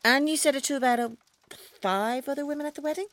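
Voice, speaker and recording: An actress playing an intense, coolly menacing woman in a radio drama, speaking in a deliberate, cryptic, taunting way. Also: high-pitched, a bit bright, no echo. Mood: menacing, accusing. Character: intense, coolly menacing woman named Kerry, toying with a one-night stand.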